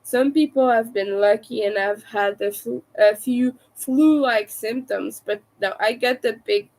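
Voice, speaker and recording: A woman with a raspy, soft-spoken voice, sick and low on energy, chatting casually and hesitantly on a livestream. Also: measured pace, rough recording. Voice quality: raspy from vocal cord damage. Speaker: livestream host, crafter.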